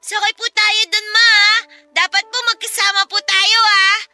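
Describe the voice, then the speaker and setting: Radio drama role: eager, sweet young daughter, piping high and sharp, polite and coaxing. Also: female voice, normal speed, exaggerated voice. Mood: eager, pleading. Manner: excitable, giggly, coaxing, politely childlike. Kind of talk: Filipino radio drama acting.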